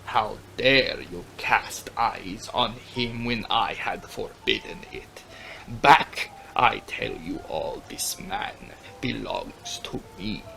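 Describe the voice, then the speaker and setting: A man voicing an imperious, wrathful vampire count in a low, hissed near-whisper for a dramatic audiobook. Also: lively intonation, takes it slowly, gravelly, pushed and bright, soft background music, heavily acted, rough recording. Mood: cold fury. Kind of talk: dramatic audiobook character reading.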